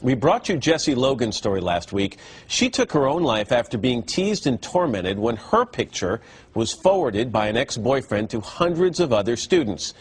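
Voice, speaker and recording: A man anchoring a morning TV news show in a brisk broadcast delivery. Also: mid-range voice, sing-song, slightly rough.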